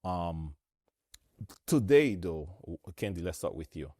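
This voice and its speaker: A man in his thirties with a Haitian accent, hosting a relaxed discussion with his guests and laughing easily. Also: deep voice, a little slow, dry recording, clean studio sound. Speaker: host. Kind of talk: conversational discussion.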